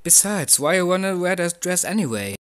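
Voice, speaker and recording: A man voicing an easygoing, somewhat reluctant high school boy in an anime fan dub. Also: very animated, fairly quick, fairly bright tone, smooth. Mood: reluctant, giving in.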